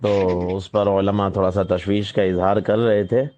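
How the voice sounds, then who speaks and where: Soft-spoken low man, gently advising. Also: little pitch movement, moderate pace. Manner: polite and deferential, gently advising with many hesitations. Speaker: caller. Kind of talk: phone conversation. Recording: muffled lo-fi phone call recording.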